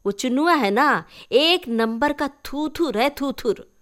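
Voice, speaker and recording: A woman's voice: high-pitched, animated, slow pace, a bit bright, voice pushed up, full character voice. A woman reading a story, voicing a talkative, giggly young village girl who proudly explains local sayings. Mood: chattering. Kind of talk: acted dialogue in a story reading.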